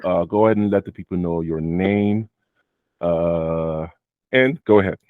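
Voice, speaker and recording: A man with a very deep voice hosts a casual chat with his student. He talks loosely, with many fillers, and often sums up what the guest just said. The sound is dry and close. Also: measured pace, dry recording, phone-quality sound. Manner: relaxed, many fillers, repeats guest's answers. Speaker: host and Amazon seller mentor. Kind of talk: casual video interview.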